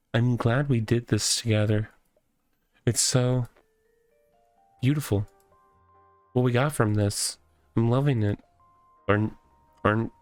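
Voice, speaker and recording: A man's voice: medium pitch, smooth, dry recording, natural voice. A man voicing a needy, self-pitying police officer from a visual novel, stammering and repeating himself. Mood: wistful, fragile. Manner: halting, stammering, repetitive.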